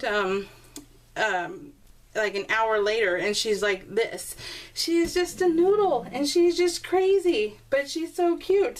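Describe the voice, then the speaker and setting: A woman chatting casually to her viewers in a craft video, rambling and often interrupting herself in a giggly way. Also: sits high. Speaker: craft video maker showing scrapbook pages. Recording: clearly noisy home recording with clicks.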